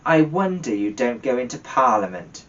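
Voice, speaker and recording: A man's voice: medium pitch, very animated, normal speed, a little hiss or hum, close to an everyday voice. A man voicing a scornful, grumpy old miserly uncle in a storybook reading, stern, indignant and growling. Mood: stern, sarcastic. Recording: distant, dull lo-fi microphone.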